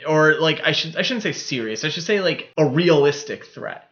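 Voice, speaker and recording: A man's voice: on the high side, animated, moderate pace, a bit bright. Man chatting on a slightly dull podcast recording. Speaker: podcast co-host. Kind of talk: casual film discussion.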